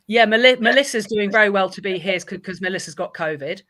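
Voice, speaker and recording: Bright-voiced woman hosting. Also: mid-range voice, quick pace. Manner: enthusiastic, gushing praise. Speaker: event host for a literacy charity. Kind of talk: casual chat, hosting an online event. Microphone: video call.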